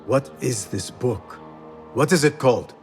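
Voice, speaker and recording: A man's voice: mid-range voice, a little slow, darkish tone, soft background music, lightly acted. A man playing a determined, controlled young assassin in a video game, earnest and probing. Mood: reassuring. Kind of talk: video game character acting.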